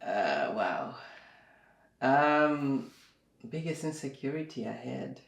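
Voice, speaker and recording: Slow, reflective man in an echoey room. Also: medium pitch, sing-song, gravelly. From Zimbabwe. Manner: slow and reflective, with many fillers. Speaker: guest. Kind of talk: casual interview talk.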